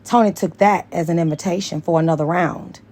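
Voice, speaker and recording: A woman's voice: fairly low pitch, fairly even intonation, brisk, a little mellow. A woman reading a story aloud as scripted audiobook narration. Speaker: audiobook reader.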